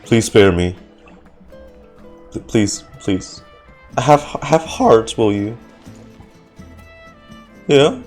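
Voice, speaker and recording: A man's voice: lowish voice, lively intonation, extremely slow, pauses often, a little mellow, soft background music. A man giving casual livestream reactions to a game, groaning and exasperated. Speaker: game streamer reacting to a story quest.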